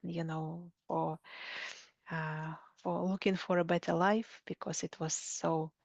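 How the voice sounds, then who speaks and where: A woman's voice: fairly low pitch, flat delivery, takes it slowly, gravelly, dry recording, highs cut off. A woman from Siberia with a Russian accent, answering interview questions conversationally and often searching for words. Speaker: coach, interview guest.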